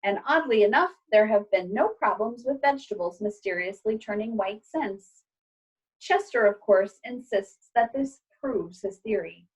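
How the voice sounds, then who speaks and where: A woman reading a children's storybook aloud like a teacher, with expressive, clearly phrased delivery. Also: mid-range voice, a little slow, low-quality recording.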